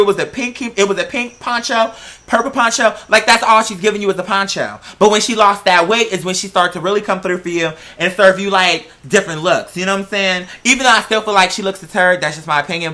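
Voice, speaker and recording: An androgynous-sounding American vlog host chatting casually and gossiping in slangy, sassy style, leaning hard on drawn-out stressed words. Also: mid-range voice, pushed and bright.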